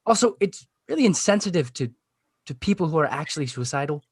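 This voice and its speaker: A man playing an embarrassed, defensive high school boy, halting and exasperated, in a comedy table read. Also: very animated, breathy edge, no echo, studio quality.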